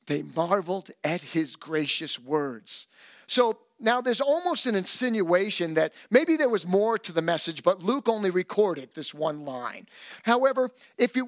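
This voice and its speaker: Animated high-voiced male pastor preaching. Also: no room sound. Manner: animated and emphatic, with self-deprecating humor. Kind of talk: sermon.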